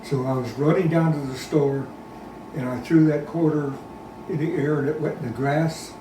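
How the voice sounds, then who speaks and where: A man in his nineties, interviewed at a private home, telling childhood memories in a plain, unhurried way. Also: on the high side, fairly even intonation. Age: 90s. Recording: roomy sound, distant mic, background noise.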